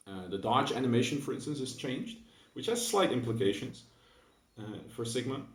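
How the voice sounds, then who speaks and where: A young man with a Dutch accent rambles through an unscripted gaming vlog, his voice a little low and groggy from just waking up. He hesitates often, fills gaps with "uh" and "um," and restarts his sentences. He sits back from the mic, so the room rings around him. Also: darkish tone. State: just woke up. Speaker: gaming video creator. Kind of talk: casual unscripted video monologue. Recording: echoey room, mic at a distance.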